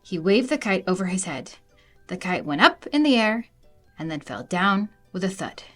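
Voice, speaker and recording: A woman reading a children's storybook aloud in an animated narrating style. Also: on the low side, normal speed, faint music behind. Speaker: storybook reader.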